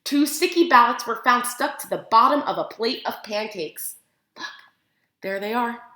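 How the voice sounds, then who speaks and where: A woman teacher reads a picture book aloud to preschoolers in an animated, expressive way, using simple phrases and putting questions to her young listeners. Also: fairly high pitch, moderate pace. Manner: animated, expressive, simple child-directed phrasing with gasps for effect. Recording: echoey room sound.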